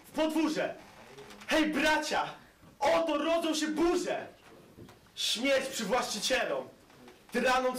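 A fiery young insurgent, acted by a teenage boy, cries his brothers to arms with a high, swinging voice, pacing his words slowly. He sounds far off in an echoing hall over a faint room hum. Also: full character voice. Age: teens. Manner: shouting, rousing declamation. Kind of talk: school stage play. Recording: echoey school hall, far camera.

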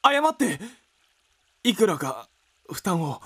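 An actor in a radio drama playing a meek, apologetic young student, hesitant and polite. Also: male voice, high-pitched, big pitch swings, takes it slowly, fairly bright tone, slightly rough, long reverb tail, full character voice. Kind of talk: Japanese radio drama acting.

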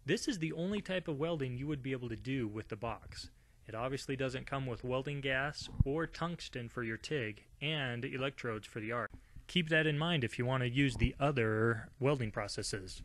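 A man's voice: mid-range voice, moderate pace, a bit bright, slight background noise. A man narrating a welding machine review and tutorial in an informal, conversational way, as a voice-over. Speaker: DIY product reviewer.

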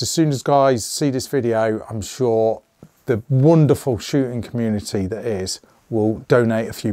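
Middle-aged host, slightly hoarse, chatty and rambling, dry close mic. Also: male voice, medium pitch, darkish tone, no room sound. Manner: chatty, rambling, jokey asides. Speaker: channel host. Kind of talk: casual to-camera channel update. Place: small indoor gun room.

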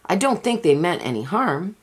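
A woman's voice: fairly low pitch, sing-song, moderate pace, pitched above the performer's own voice. A woman voicing a brave, stubborn little boy in a storybook, earnest and firm.

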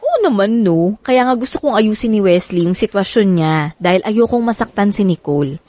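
A woman's voice: mid-range voice, animated, normal speed, warm tone, dry recording, natural voice. An actress playing a young Filipina single mother anxious about love, in a natural radio drama style. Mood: worried, earnest.

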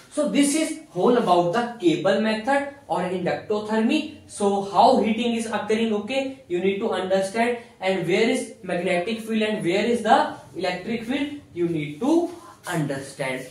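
A young man teaching in lecture style, repeating key phrases for emphasis and often saying okay. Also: high-pitched. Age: young adult. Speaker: physiotherapy teacher. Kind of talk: classroom-style lecture at a whiteboard. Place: small bare indoor room with whiteboard. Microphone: camera mic a few steps away. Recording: very echoey room, distant microphone.

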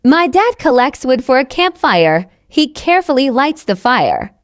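A woman with a high, lively voice narrates a simple story for language learners. She enunciates each phrase carefully, as a scripted teaching read. The sound is dry and close, without room echo. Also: a little slow. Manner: carefully enunciated, repeats sentences identically. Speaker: narrator of English learning stories.

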